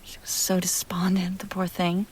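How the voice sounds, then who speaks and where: A woman's voice: lowish voice, monotone, a little mellow, a little hiss or hum, close to an everyday voice. A woman playing an ageless vampire heiress, teasing, tender and wistful, in an intimate, half-whispered ASMR roleplay. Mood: wistful, confiding. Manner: breathy, often whispering, unhurried, coaxing.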